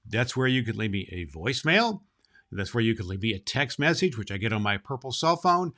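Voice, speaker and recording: A man's voice: on the low side, sing-song, fairly quick, pushed and bright, heavily acted. A man playing a jolly, kindly old Santa Claus, reading and hosting for children with emphatic affection. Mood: cheerful, affectionate. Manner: emphatic, affectionate, addressing boys and girls. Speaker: storybook reader and show host.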